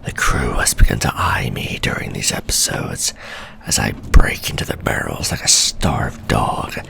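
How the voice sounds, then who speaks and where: A man voicing a male scholar sliding into madness, whispering a fevered, gloating journal in a hushed ASMR horror roleplay. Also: rumbling low, exaggerated voice. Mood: menacing. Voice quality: gravelly whisper.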